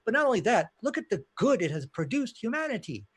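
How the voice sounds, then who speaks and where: A man's voice: sits high, sing-song, quick pace, breathy edge. A man from Pakistan arguing emphatically in a live online debate. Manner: emphatic, combative, fond of "you know".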